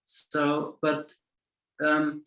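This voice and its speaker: Man, halting, echoey room. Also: a little high, completely flat delivery, bright, forceful tone. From Poland. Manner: halting, many repeated words and restarts. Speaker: film director. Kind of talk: festival Q&A answer. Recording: roomy echo.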